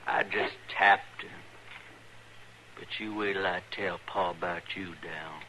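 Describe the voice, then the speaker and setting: A man's voice: deep voice, steady tone, measured pace, background noise. An actor playing a hard young American outlaw henchman, curt, bossy and threatening, in an old radio Western. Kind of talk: 1950s radio Western acting.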